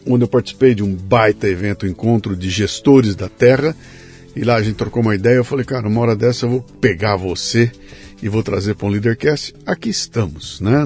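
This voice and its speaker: A man's voice: medium pitch, darkish tone. A Brazilian man hosting a podcast, moving between scripted sponsor reads and informal, colloquial conversation. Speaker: podcast host.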